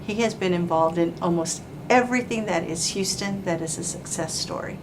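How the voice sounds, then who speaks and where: A woman hosting an interview, warm and formal, full of praise and asides. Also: fairly low pitch, a little fast, noisy background. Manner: effusive, praising, fond of "you know" and "like". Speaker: interview host, chamber of commerce president.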